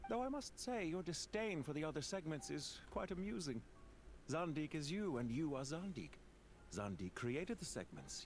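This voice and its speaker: An actor playing a shrewd, proud banker villain in a video game, formal and precise with a sardonic edge. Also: male voice, a little high, a little hiss or hum. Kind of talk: scripted video game dialogue.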